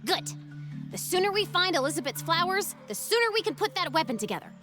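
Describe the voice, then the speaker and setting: A woman playing a plucky, upbeat young adventurer in a comedic animated game, with a bubbly manner. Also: very high voice, fast talker. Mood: earnest. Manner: bubbly, exclamatory. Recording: music behind the voice.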